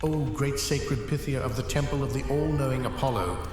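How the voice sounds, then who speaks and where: A man's voice: mid-range voice, a little fast, close to an everyday voice. A man playing a solemn, commanding temple priest in an audio drama, ceremonial and grandiose, in an echoing hall. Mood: reverent. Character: solemn, commanding male temple priest presiding over oracle. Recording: cavernous echoing hall, clear noise.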